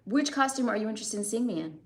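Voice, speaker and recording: A woman's voice: fairly high pitch, animated, fast talker. Chatty young American woman streamer, talking casually and laughing easily. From United States. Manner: chatty, answers chat comments, laughs often. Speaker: live streamer. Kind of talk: casual live-stream chat.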